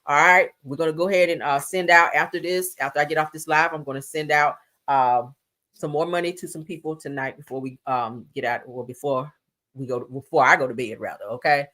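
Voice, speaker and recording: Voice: mid-range voice, steady tone, a little fast, bright, forceful tone. Sassy, blunt live-stream news commentator. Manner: sassy, blunt, colloquial, addresses viewers as child and honey.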